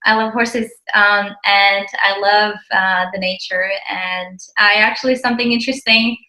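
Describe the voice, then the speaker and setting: A woman's voice: medium pitch, steady tone, moderate pace, pushed and bright, echoey room, poor audio. A woman in her twenties from the Czech Republic with a Czech accent, a ministry co-founder chatting as an interview guest with many hesitant fillers. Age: 20s. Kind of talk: casual interview chat.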